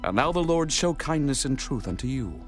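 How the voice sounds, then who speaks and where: A man's voice: medium pitch, lively intonation, brisk, soft background music, lightly acted. Man as a grieving warrior king. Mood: solemn. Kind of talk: dramatized scripture reading.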